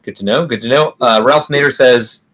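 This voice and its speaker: A man's voice: mid-range voice, moderate pace, a bit bright. A man co-hosting a casual livestream chat, rambling and reading viewer comments aloud with frequent laughs. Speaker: podcast co-host.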